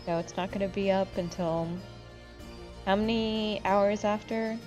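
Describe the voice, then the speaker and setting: A woman's voice: mid-range voice, steady tone, unhurried, darkish tone, soft background music. A woman chatting casually and bluntly, with some swearing, while playing an online game. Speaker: gaming video host.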